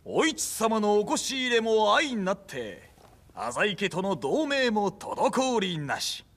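An actor playing a cheerful, boisterous samurai retainer in a game, with hearty, rough colloquial speech. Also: male voice, sits high, huge pitch swings, slow, fairly bright tone, exaggerated voice. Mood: jubilant. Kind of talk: dramatic video game cutscene acting.

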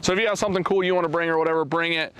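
A man's voice: fairly high pitch, fairly even intonation, racing, fairly bright tone, smooth, poor audio. A man in his thirties hosting a casual promotional vlog outdoors at a race track, filming himself at arm's length and talking energetically with his hands. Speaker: automotive vlogger, event organizer. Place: outdoors at an open, windy race track facility. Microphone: camera held at arm's length.

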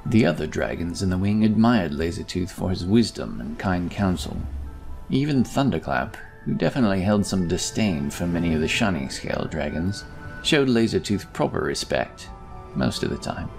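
Male fantasy narrator: very low, creaky voice, expressive storytelling over music. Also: normal speed.

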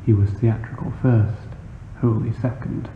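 A man reads a literary short story in a measured, formal manner, as scripted narration. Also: on the low side, fairly even intonation. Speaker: author reading a short story. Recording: muffled phone-like sound, noisy, roomy echo.

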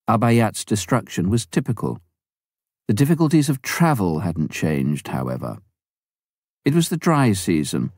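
A man reading a memoir aloud in a measured, unhurried manner. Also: sits low, dark tone, dry recording, studio quality. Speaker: audiobook reader. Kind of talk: scripted memoir narration.

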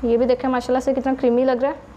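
A woman with a Pakistani Urdu accent narrates a home recipe step by step and talks straight to her viewers. Her voice sits high and stays patient and explanatory. A faint noise runs under the recording. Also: flat delivery, racing, mellow. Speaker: cooking channel host.

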